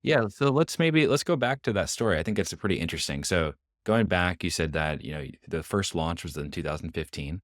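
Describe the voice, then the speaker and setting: A man's voice: deep voice, expressive, a little fast, few pauses, no room sound, studio quality. A man hosting an interview, asking questions with hesitant restarts and frequent affirming yeahs. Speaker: podcast host.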